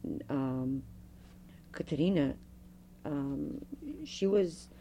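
A middle-aged woman, a Fado singer, telling stories with a strong, loud voice in an interview. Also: very deep voice, very slow, a little hiss or hum. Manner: animated storyteller, strong loud voice. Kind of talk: oral history interview.

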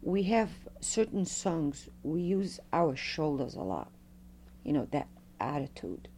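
A middle-aged woman, a Fado singer, telling stories with a strong, loud voice in an interview. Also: low-pitched, slow pace, slight background noise. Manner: animated storyteller, strong loud voice. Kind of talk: oral history interview.

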